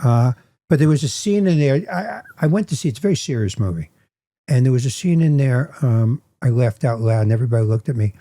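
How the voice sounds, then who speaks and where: A man's voice: medium pitch, animated, quick pace, warm tone, dry recording. A man hosting a podcast who chats casually and keeps asking his guest questions. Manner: curious, asks many questions. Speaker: podcast host.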